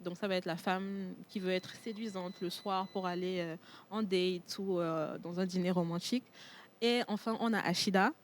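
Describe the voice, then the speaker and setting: A woman from Togo whose first language is Tchokosi, a perfume entrepreneur speaking as a guest in a TV studio interview, pausing often on fillers. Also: fairly low pitch, fairly even intonation, slight background noise. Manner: hesitant, many fillers and restarts.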